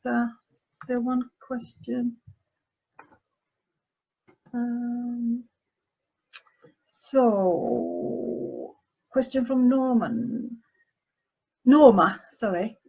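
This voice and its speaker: Slow, hesitant woman from Finland, muffled. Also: fairly high pitch. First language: Finnish and Swedish. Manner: thoughtful and hesitant, pausing with fillers and restarting phrases. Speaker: podcast host answering listener questions. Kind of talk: advice talk answering questions.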